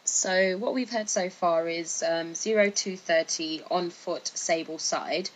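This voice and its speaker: A woman's voice: fairly low pitch, slow pace. A woman giving informal explanatory commentary in a hesitant, tentative manner. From United Kingdom. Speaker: video narrator. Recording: faint background noise.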